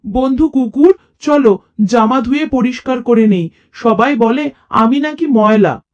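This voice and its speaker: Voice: mid-range voice, measured pace, dull audio, voice pushed up, close to an everyday voice. A storyteller voicing a whimsical, solemn talking jackal for children. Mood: earnest, whimsical.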